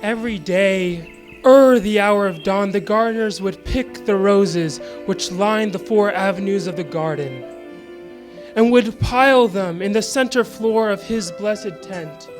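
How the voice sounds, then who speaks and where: A woman giving a scripted dramatic reading in a reverent, measured storytelling manner. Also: medium pitch. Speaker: dramatic reader of a historical account. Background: music and birdsong.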